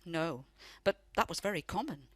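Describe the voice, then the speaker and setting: A woman's voice: on the low side, expressive, moderate pace, slightly rough, no room sound. An actress in a radio play playing a loving, wistful elderly grandmother who tells family memories in a reflective way. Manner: reflective storytelling.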